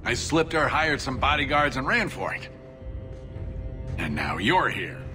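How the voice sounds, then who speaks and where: A man's voice: medium pitch, big pitch swings, a little slow, over music. A man playing an exasperated, shifty dwarf merchant in a video game, grumbling with sarcasm. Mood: aggrieved. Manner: sardonic grumbling, colourful folksy exclamations. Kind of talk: video game cutscene dialogue.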